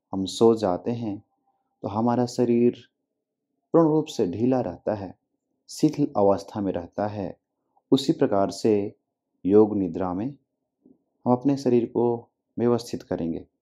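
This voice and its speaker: A man with a low, mellow voice leads a guided yoga relaxation. He speaks very slowly, in short instructive phrases with long pauses between them. The sound is slightly dull and lo-fi.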